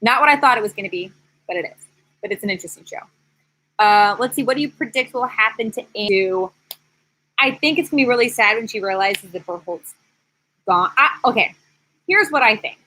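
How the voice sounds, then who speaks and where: Woman, thirties, bright voice, candid, animated, casual live-stream host, rambling chat. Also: a little high, a little fast, long pauses, slight background noise, rough recording. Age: 30s. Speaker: YouTube host, former teacher. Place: screened outdoor porch.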